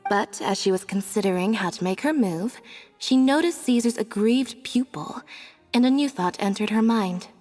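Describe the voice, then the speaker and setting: A woman's voice: mid-range voice, animated, moderate pace, darkish tone, close to an everyday voice. An actress playing a young female magician recounting a crime with dramatic storytelling. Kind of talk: video game voice acting. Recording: game audio with reverb and music.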